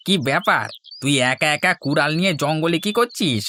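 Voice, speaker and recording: A man's voice: fairly high pitch, expressive, normal speed, sharp tone, a little hiss or hum. A man voicing a concerned villager who gives cautions in a cartoon fairy tale. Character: concerned villager warning a youngster in the forest. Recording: music bed, plucked strings.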